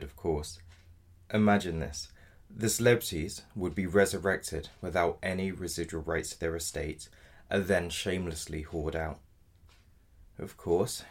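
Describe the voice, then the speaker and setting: Man acting as low, gravelly, matter-of-fact solicitor. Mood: grimly explaining. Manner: measured, matter-of-fact, formally polite. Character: apologetic but blunt male defence solicitor in dystopian future. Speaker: actor. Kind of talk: audio drama dialogue.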